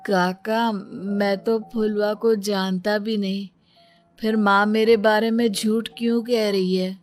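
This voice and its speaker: A woman voicing a naive, gentle young man in a storybook tale, innocent and puzzled. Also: medium pitch, level tone, slow, natural voice. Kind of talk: storybook character acting. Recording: music bed behind the voice.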